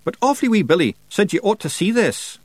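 A man's voice: high voice, sing-song, brisk, darkish tone, husky, no echo, pitched above the performer's own voice, full character voice. A man voicing a cheeky, earnest tiny male fairy clansman with a Scottish accent in an audiobook. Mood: urgent, helpful. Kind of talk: audiobook character voice.

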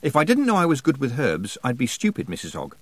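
Earnest young apprentice witch, male narrator playing her, proud and direct, lightly raised storybook voice, lively pitch. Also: quick pace, no room sound. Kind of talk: audiobook character dialogue.

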